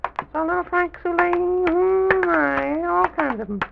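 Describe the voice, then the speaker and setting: A man voicing a small, excitable, curious childlike character in an old children's radio serial, exclaiming and repeating himself in wonder. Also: very high-pitched, a little slow, creaky, background noise, full character voice. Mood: curious, delighted. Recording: dull vintage radio recording with music.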